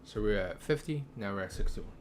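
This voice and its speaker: Laid-back young man, lowish voice. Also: lively intonation, brisk, slight background noise. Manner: laid-back, thinking aloud with slang. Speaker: gaming streamer. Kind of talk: casual gameplay commentary.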